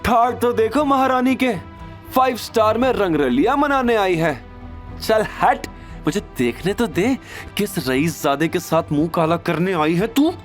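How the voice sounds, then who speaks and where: Casting: male narrator as sneering young sister, scornful and spiteful, high-pitched, lively delivery, audio drama. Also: a little slow, faint music behind. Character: haughty, spiteful young woman taunting her sister.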